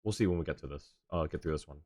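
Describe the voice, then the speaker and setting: A man's voice: a bass voice, rapid-fire, a little mellow, no room sound. A man livestreaming a video game, chatting casually and thinking aloud in a rambling way. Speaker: livestreamer host. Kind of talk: casual chat while playing a game. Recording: game music behind the voice. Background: video game music and character voices.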